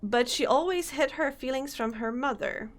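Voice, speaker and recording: A young woman streaming a game, chatting casually, reading on-screen text aloud and laughing often, her voice slightly hoarse. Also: sits high, normal speed, slight background noise. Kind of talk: casual let's-play commentary.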